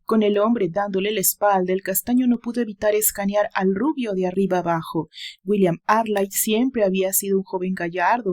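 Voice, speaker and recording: A woman's voice: medium pitch, darkish tone. Female storyteller, Mexican accent, mid-range voice, for serialized romance narration and listener-facing recaps. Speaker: audiobook narrator and channel host. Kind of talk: dramatic reading of a romance story with chatty recap.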